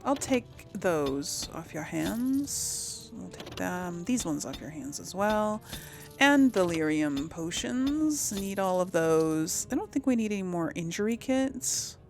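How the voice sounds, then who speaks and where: A woman's voice: on the low side, animated, takes it slowly, vocal fry, faint music behind. A woman giving casual let's play commentary, chatting to viewers and rambling as she thinks aloud. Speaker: gaming video host.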